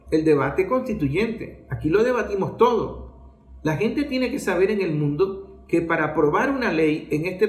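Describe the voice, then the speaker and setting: Echoey, higher-pitched Venezuelan man, emphatic political commentator. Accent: Venezuelan Spanish. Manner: didactic, emphatic, with rhetorical questions and repetition. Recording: echoey room sound.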